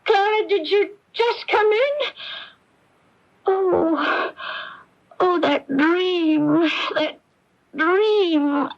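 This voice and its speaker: An actress playing a frail, anxious but quietly relentless middle-aged sister in a radio mystery, speaking deliberately on a radio broadcast recording. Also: female voice, very high-pitched, highs cut off, full character voice. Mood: frightened, dazed. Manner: halting, repeats words when anxious, deliberate. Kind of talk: old-time radio mystery drama acting.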